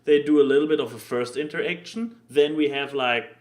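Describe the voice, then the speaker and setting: A man chatting casually as a podcast co-host, giving an exasperated, animated review and acting out quotes as he goes. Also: on the high side, brisk.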